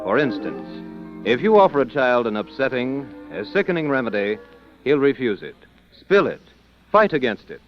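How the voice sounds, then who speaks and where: A man's voice: medium pitch, big pitch swings, normal speed. An American man reading scripted announcements and sponsor copy in the style of old-time radio. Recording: dull old radio broadcast, music behind.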